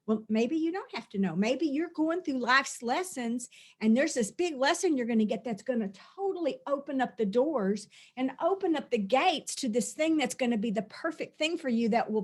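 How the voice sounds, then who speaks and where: A woman's voice: fairly high pitch, husky. A middle-aged woman, a spiritual teacher, talking earnestly through a release session, often repeating and listing phrases.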